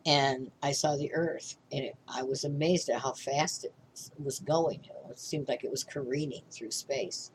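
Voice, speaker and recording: An older woman with a low, deep-set voice, a reverend teaching a spiritual class. She rambles loosely from thought to thought, restarts her sentences and keeps asking "okay?". A faint hiss sits under her voice. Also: steady tone, moderate pace, few pauses, poor audio. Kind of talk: informal spiritual class talk.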